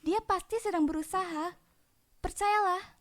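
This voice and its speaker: A woman plays a loyal young heroine from a comic dub. Her voice is very high and earnest, that of someone who believes firmly in her friend. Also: a little slow. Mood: indignant, earnest. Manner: earnest, pleading.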